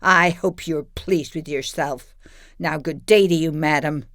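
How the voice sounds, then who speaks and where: A woman's voice: deep voice, a little slow, dry recording, studio quality. A woman playing a scheming, haughty female corporate villain in an audio play, pompous and theatrical in manner. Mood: indignant.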